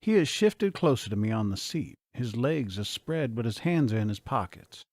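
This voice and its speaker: A male audiobook narrator reads a first-person novel at a slow, measured pace. His voice sits at an ordinary middle pitch. The sound is dry and close, with no room echo. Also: very animated, darkish tone.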